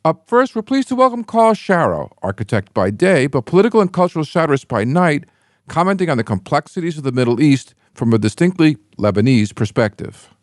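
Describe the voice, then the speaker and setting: A man hosting a radio show, giving a scripted introduction and asking measured, prepared questions. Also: fairly high pitch, big pitch swings, no echo, studio quality.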